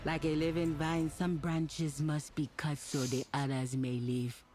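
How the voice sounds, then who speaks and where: Male actor as a solemn troll elder of the tribe, fantasy game dialogue, slow, measured, flat intonation. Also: fairly high pitch, close to an everyday voice. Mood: solemn, grave. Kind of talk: scripted video game dialogue.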